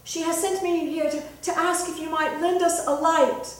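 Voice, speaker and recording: A woman's voice: very high voice, little pitch movement, normal speed, pitched above the performer's own voice. A woman voicing a meek, polite young girl in a folktale, timid and earnest. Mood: timid, pleading. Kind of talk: dramatic folktale storytelling. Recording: very echoey room, distant mic.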